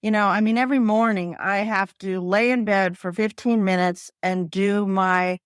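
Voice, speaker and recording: A woman in her fifties, a pickleball coach, tells lively stories and laughs as she goes. Her voice sits in a middle pitch. The recording is dry and close, with no room sound. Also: normal speed, clean tone, dry recording. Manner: animated storytelling, laughs often, acts out her own quotes. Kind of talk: podcast interview guest.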